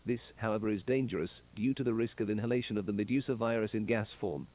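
A man reading scripted warning instructions in a flat, measured way. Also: fairly low pitch. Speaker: narrator of a horror video. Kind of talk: calm scripted instructional narration. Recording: tinny phone-like narration.

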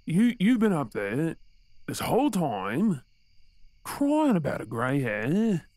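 A man's voice: a little high, huge pitch swings, slow pace, a little mellow, no room sound, full character voice. A man with an Australian accent playing an exasperated but tender boyfriend in an intimate, conversational audio roleplay.

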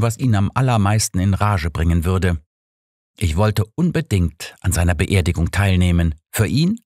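A man voicing an embittered woman who makes a soft, measured confession of murder in an audiobook. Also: fairly low pitch, dry recording, studio quality.

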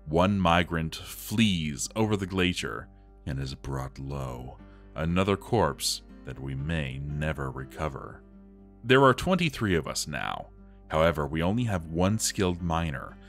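Guy doing a gloomy dwarf boss reading his journal, real deep voice, dramatic and scornful, faint music under it. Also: fairly bright tone, full character voice. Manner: theatrical, brooding, emphatic. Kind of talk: dramatic journal narration over a game.

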